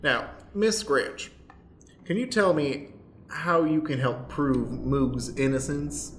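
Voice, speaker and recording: A man's voice: fairly high pitch, slow. A man playing a stern, dry courtroom judge, formal in his questioning, in an improvised radio play. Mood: flustered, bossy. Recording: echoey room, faint background noise.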